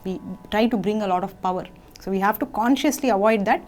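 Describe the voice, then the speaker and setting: A young woman, teaching a singing lesson, gently and patiently explaining phrases between short sung examples. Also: mid-range voice, rapid-fire.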